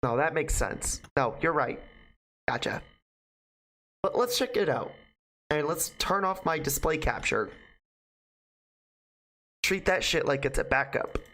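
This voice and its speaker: A game streamer chatting casually to viewers and reading aloud, informal and profane, with a halting delivery. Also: mid-range voice, sing-song, a bit bright. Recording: music behind the voice. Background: music.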